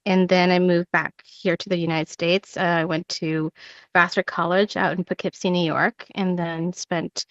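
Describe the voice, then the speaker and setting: A woman's voice: lowish voice, little pitch movement, normal speed, dry recording, dull audio. A woman in her thirties who grew up in Ecuador, chatting as an interview guest with lots of "like" fillers and easy laughter. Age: 30s. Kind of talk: casual interview chat.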